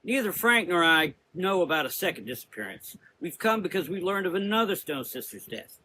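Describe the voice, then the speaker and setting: A man's voice: high voice, big pitch swings, fairly quick, pushed and bright, a little hiss or hum, pitched above the performer's own voice, heavily acted, rough recording. A man voicing a tall, proud, defiant warrior woman of a sisterhood in a gothic audio drama, speaking earnestly. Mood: frustrated.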